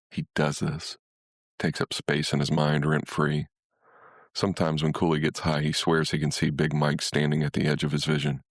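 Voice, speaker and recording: A man's voice: rumbling low, gravelly, breathy edge, dry recording, clean studio sound. A man reading a literary short story as scripted narration.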